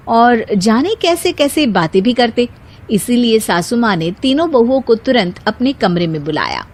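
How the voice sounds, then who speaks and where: Woman, slightly high pitch, scripted narration, children's story.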